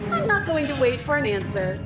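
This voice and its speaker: A woman's voice: high-pitched, huge pitch swings, fairly quick, reverberant, far from the mic, music in the background. A woman playing a murderous, taunting princess in a horror game, gleeful and menacing. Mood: gleeful menace. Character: murderous, taunting princess who relishes violence.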